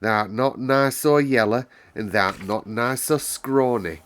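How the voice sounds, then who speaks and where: A man plays a young housemaid in a storybook, lifting the pitch well up. The speech is broad rustic dialect, blunt and teasing, with a warm, chatty temper. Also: takes it slowly. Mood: teasing, admiring. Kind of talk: storybook character reading.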